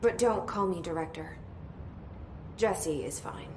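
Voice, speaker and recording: A woman's voice: fairly low pitch, a little slow, noisy background, close to an everyday voice. A woman voicing a guarded young agent. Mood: terse. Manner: quiet inner thoughts, terse replies. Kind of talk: game voice acting.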